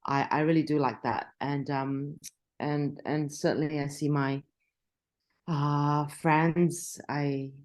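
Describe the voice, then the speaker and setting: Woman, middle-aged, low-pitched, conversational, frequent restarts. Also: steady tone, slow, darkish tone. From Australia. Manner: chatty, frequent restarts and laughter. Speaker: journalist. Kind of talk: interview conversation.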